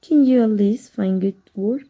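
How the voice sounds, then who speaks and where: A woman from Mexico voicing a cunning dark magic teacher in an ASMR roleplay, speaking softly and measured, sometimes dropping to a whisper. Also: medium pitch, narrow, tinny audio. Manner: soft, measured, drops to whispers. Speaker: voice actor.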